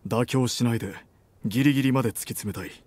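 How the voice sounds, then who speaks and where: A man in his thirties playing a suave, assertive company president and boyfriend in an intimate romance audio drama, soft and breathy, gentle yet commanding. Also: medium pitch, quick pace, no room sound, natural voice. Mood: businesslike, keen. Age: 30s.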